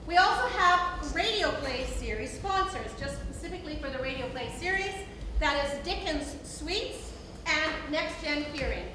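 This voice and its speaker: A high-voiced woman speaks as a theatre director, welcoming an audience informally. Her voice echoes heavily through the room and is picked up from a distance, with clear noise behind it. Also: lively intonation, moderate pace. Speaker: theatre director introducing a performance. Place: indoor theatre, distant microphone. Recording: echoey theatre from far off, noisy.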